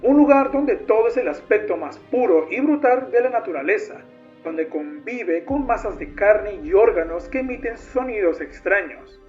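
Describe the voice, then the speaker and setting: A man's voice: high-pitched, expressive, moderate pace, a little mellow. A man reading scripted narration as a narrator. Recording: dull lo-fi audio with music. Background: music.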